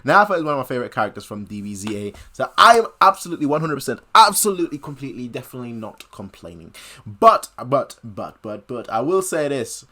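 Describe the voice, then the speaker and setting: A man's voice: medium pitch, big pitch swings, fast, sharp tone. A man hosting a reaction video with casual, off-the-cuff commentary, repeating words for emphasis.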